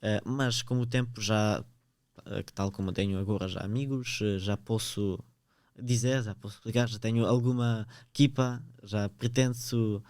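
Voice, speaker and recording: Ukrainian-accented teen, smooth and hesitant. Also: male voice, on the low side, fairly even intonation, normal speed, a little mellow, no room sound. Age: teens. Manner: hesitant, searching for words, frequent fillers. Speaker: guest. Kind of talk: podcast interview.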